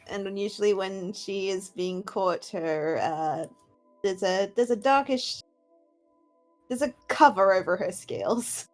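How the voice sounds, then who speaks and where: Slow-talking woman in a tabletop game. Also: mid-range voice, soft background music. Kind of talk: casual game chat.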